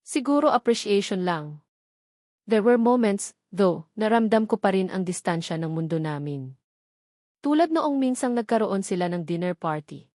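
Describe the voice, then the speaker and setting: A woman's voice: medium pitch, expressive, moderate pace, dark tone, dry recording, studio quality. A woman with a Filipino accent reading a scripted first-person romance story as its storyteller.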